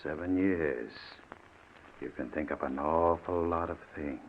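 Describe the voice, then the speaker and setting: Very deep-voiced, vengeful ex-convict. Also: male voice, breathy edge, full character voice. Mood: cool hatred. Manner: sardonic, deliberate, threatening. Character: vengeful ex-convict, bitter, coldly menacing widower. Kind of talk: 1940s radio suspense acting. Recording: muffled old radio recording.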